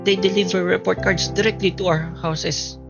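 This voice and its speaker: A man's voice: high voice, fairly even intonation, normal speed, vocal fry. A man acting as a shy, insecure young student in love in a radio drama, with a Filipino accent. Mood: nervous. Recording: music playing behind the voice.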